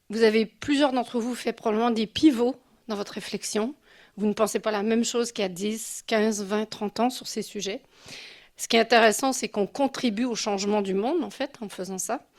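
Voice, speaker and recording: A mature woman from Quebec gives an informal conference talk as a guest speaker, in a personal and reflective way. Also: fairly high pitch. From Quebec City, Canada. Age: 50s or older. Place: live talk before an audience.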